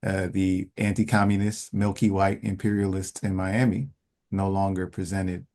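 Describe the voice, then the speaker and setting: A man hosts a question-and-answer call in a low, gravelly voice. He talks in a relaxed, storytelling way and reads questions aloud. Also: moderate pace. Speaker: host.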